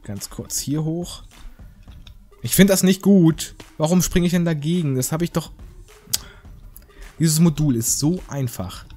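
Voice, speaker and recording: A man's voice: fairly high pitch, a little slow, long pauses, dark tone, faint music behind. A man giving casual, joking game commentary in a rambling, slangy, mock-indignant way. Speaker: gaming video host.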